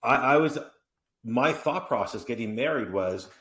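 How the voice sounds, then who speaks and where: A man in his forties hosting a podcast, telling stories in an animated, rambling way with many restarts and asides. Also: medium pitch, unhurried. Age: 40s. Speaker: podcast host. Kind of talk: casual conversational interview. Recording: roomy echoey room.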